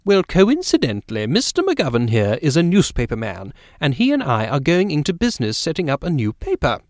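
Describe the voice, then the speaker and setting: A man's voice: fairly high pitch, wildly swooping pitch, brisk, no room sound, clean studio sound, pitched above the performer's own voice. A man voicing a genteel, chatty, inquisitive lady in an audiobook, with effusive and polite manners. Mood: eager. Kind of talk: audiobook character dialogue.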